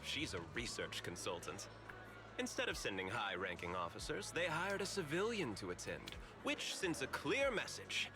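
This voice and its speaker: A courteous, politically shrewd corporate manager from a video game. His delivery is measured and polite, yet the pitch moves expressively through each explanation. Music plays clearly behind him. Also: huge pitch swings. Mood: composed, explanatory.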